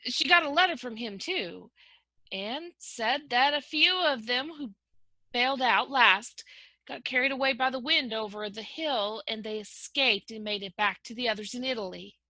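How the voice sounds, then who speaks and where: Woman acting frank wartime confidante, bright forward voice, dry sound, direct, practical, concerned, stage play reading. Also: fairly high pitch, moderate pace, no echo. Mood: matter-of-fact. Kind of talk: one-act play table read. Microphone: video call.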